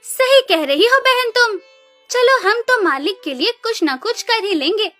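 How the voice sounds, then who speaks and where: A woman's voice: very high voice, animated, unhurried, bright, forceful tone, exaggerated voice. A woman voicing a spiteful younger sister cow in a cartoon story, mocking and scornful. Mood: earnest. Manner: taunting, scornful. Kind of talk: Hindi animated moral story dialogue. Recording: music behind the voice.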